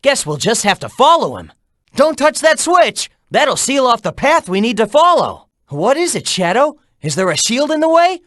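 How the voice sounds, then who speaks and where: An actor voicing a cocky, upbeat teenage hedgehog hero in a video game, cheeky and encouraging. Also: male voice, very high-pitched, moderate pace, no echo, studio quality, exaggerated voice. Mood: excited. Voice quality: youthful, bright, energetic. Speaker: video game character voice clips. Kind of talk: game voice acting, mission hints. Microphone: dry studio mic.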